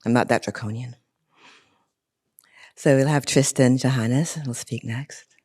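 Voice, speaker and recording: Gracious woman moderator, mellow and relaxed, light humor. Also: rumbling low, unhurried, husky. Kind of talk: panel introductions.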